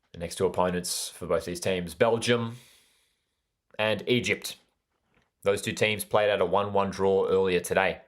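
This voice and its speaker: A man with an Australian accent hosting a live football watchalong, commenting on play and chatting with viewers in an enthusiastic way that repeats phrases for emphasis. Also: on the low side. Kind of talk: live sports commentary with chat interaction.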